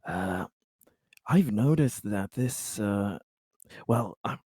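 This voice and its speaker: A man acting a furtive, ingratiating male corporate type in a tabletop game, with halting delivery. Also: lowish voice, expressive, mellow, breathy edge, no room sound, clean studio sound, voice pushed down, full character voice. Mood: smooth, sly.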